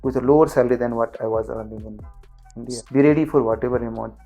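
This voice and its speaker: Middle-aged guy from nepal, gravelly voice, talks flat and careful, faint music under it. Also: medium pitch, muffled recording. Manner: measured, with frequent restarts. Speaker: guest, group CFO. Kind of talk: interview answers.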